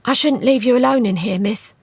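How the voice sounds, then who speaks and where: A woman's voice: on the high side, a little fast, slightly rough, no echo, voice pushed up. A woman voicing a shy, nervous young housemaid who speaks deferentially. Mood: timid. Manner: timid, deferential, soft.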